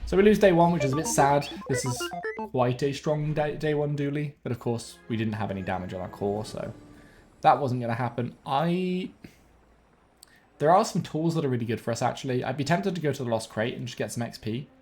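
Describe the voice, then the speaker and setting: A man's voice: mid-range voice, fairly quick. Sniffly young man talking through a game. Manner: thinks aloud, relaxed, self-correcting. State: sniffly, bunged up. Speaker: game streamer. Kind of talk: gameplay commentary. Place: home room with webcam. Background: faint game music.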